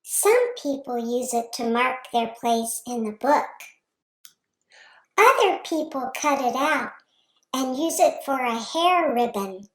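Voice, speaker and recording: Woman performs a matter-of-fact little girl, slow and lilting, high voice. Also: expressive. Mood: chatty. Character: chatty little girl on the phone. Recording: roomy echo.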